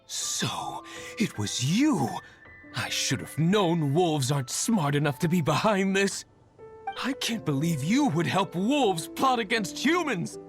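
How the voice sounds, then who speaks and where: A woman's voice: fairly low pitch, big pitch swings, slow pace, faint music behind. A woman voicing an indignant, suspicious villager in a video game, speaking in an accusatory and scornful way. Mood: angry, scornful. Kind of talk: dramatic game voice acting.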